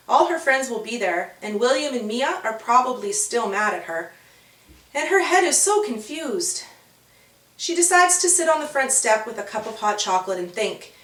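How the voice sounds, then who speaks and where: Female narrator for children's fiction. Highish voice, expressive, dramatic emphasis, recorded in an echoey room. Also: distant mic. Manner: dramatic, drawn-out emphasis on key words. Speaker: author and narrator of a children's story. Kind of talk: expressive scripted children's storytelling.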